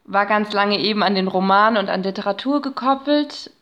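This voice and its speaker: A woman in her thirties talks as a podcast guest with a medium-pitched voice. She explains like a chatty academic, letting sentences wind and branch as she goes. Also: moderate pace, fairly bright tone. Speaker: podcast guest, narratologist and researcher. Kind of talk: explanatory, conversational interview.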